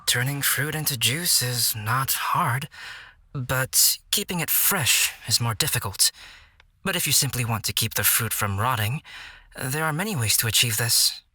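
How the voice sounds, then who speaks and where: A man voicing a calm, scholarly, quietly teasing young alchemist in an anime video game, measured and articulate. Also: medium pitch, breathy edge, no echo, heavily acted. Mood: composed.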